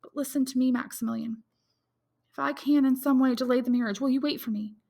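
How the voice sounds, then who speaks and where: A woman's voice: high voice, steady tone, fast talker, creaky, warm tone, voice pushed up. A woman voicing a distraught, dutiful young woman in love, with an emotional, imploring manner in a dramatic audiobook. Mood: desperate, resolute. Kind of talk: dramatic audiobook character reading.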